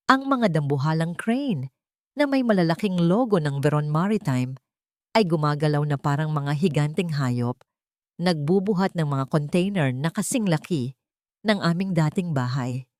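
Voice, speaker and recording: A woman narrates a scripted first-person dramatic story in a measured, solemn, literary manner. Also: low-pitched, animated, a little mellow, dry recording, studio quality.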